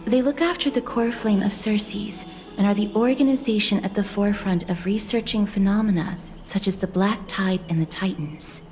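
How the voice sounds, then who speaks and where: An actress playing a soft-spoken, solemn young woman in a video game, talking politely and in a subdued, earnest way. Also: medium pitch, natural voice. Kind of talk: scripted video game dialogue.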